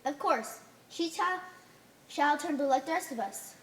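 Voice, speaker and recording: A woman's voice: sits high, lively intonation, a little slow. A woman playing a pompous, dogmatic alien holy leader in an amateur stage play, making solemn, commanding pronouncements. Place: theater stage.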